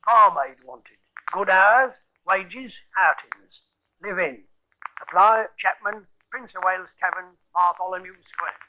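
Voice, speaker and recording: An actor playing a scheming, wheedling Victorian barber and publican in a radio drama. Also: male voice, high voice, unhurried, slight background noise. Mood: brash. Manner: colloquial, cajoling, brash. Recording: muffled 1950s radio recording.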